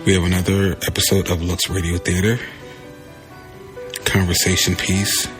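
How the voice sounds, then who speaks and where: A man's voice: deep voice, little pitch movement, normal speed, over music. A man hosting a podcast, giving a plain spoken introduction to an old radio show. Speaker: podcast host.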